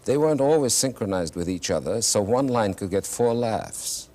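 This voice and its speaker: A man, an actor and singer whose first language is German, telling stories in a reflective, halting way with wry humor in a television interview. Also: on the low side, animated, mellow. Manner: thoughtful, halting with many restarts, wry humor. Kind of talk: reflective conversational storytelling. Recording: faint hiss, 1970s TV studio.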